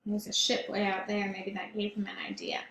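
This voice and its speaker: A woman's voice: mid-range voice, monotone, fairly quick, echoey room. A woman teacher reading a picture book aloud to children, often stopping to ask them questions. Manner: warm, questioning, child-directed.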